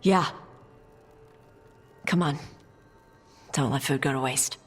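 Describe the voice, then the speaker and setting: An actress playing a blunt, defensive non-binary young adult adventurer in a video game, talking in short plain phrases. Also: female voice, a bass voice, slow, faint music behind. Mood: subdued. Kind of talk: video game dialogue acting.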